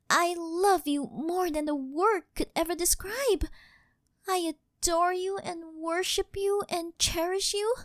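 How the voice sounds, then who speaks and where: Living doll maid, very high girlish pitch, unhurried, reverent and possessive, melodramatic, close dry ASMR roleplay. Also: dry recording. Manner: reverent, formal, melodramatic, fawning. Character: devoted, possessive female living doll maid, worshipful and obsessive. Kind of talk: scripted ASMR roleplay, intimate monologue to the listener.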